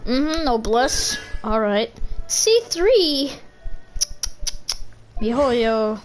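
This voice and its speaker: A woman's voice: on the high side, animated, drawn-out words. A woman giving casual, playful gameplay commentary as a streamer, chatty and slangy. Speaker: video game streamer. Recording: game music behind the voice.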